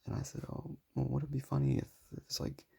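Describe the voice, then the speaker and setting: A young man with a raspy voice, talking casually and modestly while showing his sketches. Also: medium pitch, flat delivery, brisk. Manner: soft-spoken, self-deprecating, rambling.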